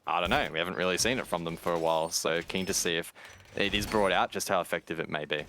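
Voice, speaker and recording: A man with a low-pitched voice commentates on a competitive video game. He describes play and analyses tactics as a live caster. Slight noise and clicks sit in the background. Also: fast, fairly bright tone. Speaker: esports commentator.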